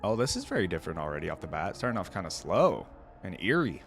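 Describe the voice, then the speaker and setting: A man's voice: sits low, very animated, quick pace, faint music behind. A man giving casual reaction commentary as the host of a video.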